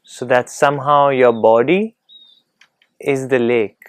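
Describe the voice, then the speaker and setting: A man leading a guided meditation in a calm, gentle, unhurried manner, leaving long pauses between phrases. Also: medium pitch, clear, resonant tone, dry recording.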